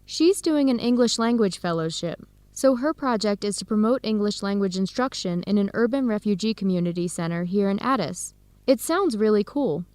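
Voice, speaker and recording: A woman's voice: medium pitch, animated, normal speed, a little mellow, no room sound, close to an everyday voice. A woman with an American accent playing a friendly young researcher in an English-learning radio drama. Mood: upbeat, chatty. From United States. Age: young adult.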